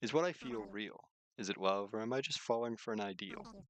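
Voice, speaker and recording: Man reading as a brooding, low-voiced investigator. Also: a little fast, a crowd in the background, lightly acted. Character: brusque, troubled investigator man seeking answers.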